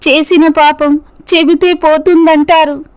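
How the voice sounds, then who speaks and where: A woman's voice: high-pitched, little pitch movement, a little slow, a little mellow, pitched above the performer's own voice. A woman voicing a remorseful young woman in an earnest, apologetic way for a scripted moral story. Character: remorseful young woman confessing her past mistake.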